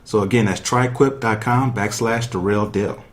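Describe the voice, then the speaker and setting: A man's voice: fairly low pitch, moderate pace. Casual man hosting a sports podcast. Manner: informal, chatty, addresses listeners directly. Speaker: podcast host. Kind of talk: casual sports talk with guests and sponsor reads.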